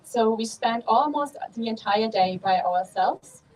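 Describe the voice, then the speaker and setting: German-accented woman giving a calm talk. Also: fairly high pitch, recorded from a distance, poor audio. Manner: calm, measured, with frequent ums. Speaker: Buddhist nun giving a dharma talk. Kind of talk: dharma talk. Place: monastery hall.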